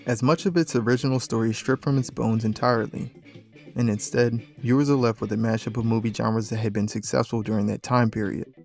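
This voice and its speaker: A man's voice: medium pitch, a little mellow. Man narrating a documentary over music. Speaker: video essay narrator. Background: background music.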